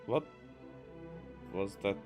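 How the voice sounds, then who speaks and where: A man giving casual let's play commentary as a gaming streamer, tossing out muttered asides as he reacts to the game. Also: extremely slow. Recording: voice over clear game music. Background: video game music.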